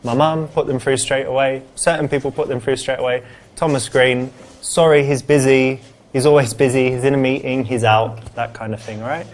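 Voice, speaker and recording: A man's voice: medium pitch, fairly even intonation, lightly acted. Man acting as a laid-back, casual office boss. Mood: matter-of-fact. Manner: casual, halting conversational delivery. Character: laid-back, friendly male office boss, wealthy businessman. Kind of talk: acted office dialogue in live-action game cutscenes.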